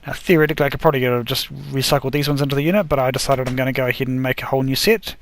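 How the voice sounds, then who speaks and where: A man's voice: mid-range voice, racing, slight background noise. A man chats his way through a gameplay session, narrating each crafting step as he goes. He trips over words and corrects himself along the way. His voice sounds a bit worn, as if he is losing it. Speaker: gaming video host. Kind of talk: casual gameplay commentary.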